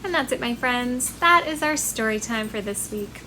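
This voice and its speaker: A woman's voice: fairly high pitch, expressive, normal speed, pushed and bright, a little hiss or hum, low-quality recording. A woman hosting a children's library storytime, reading rhymes aloud expressively and addressing small children in a friendly, sing-song way. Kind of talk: expressive read-aloud of a rhyming picture book for young children.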